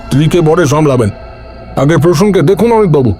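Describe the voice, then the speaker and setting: A man's voice: fairly high pitch, animated, fairly quick, warm tone, soft background music, close to an everyday voice. A man playing a grave, protective father in a horror audio drama, speaking in a dramatic, earnest way. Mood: urgent.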